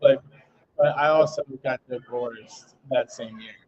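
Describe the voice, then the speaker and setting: Male guest, thirties, relaxed chatty chef with lots of fillers, muffled lo-fi sound. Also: medium pitch, unhurried, a crowd in the background. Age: 30s. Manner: relaxed, many fillers like "you know". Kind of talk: casual interview chat. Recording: muffled lo-fi audio.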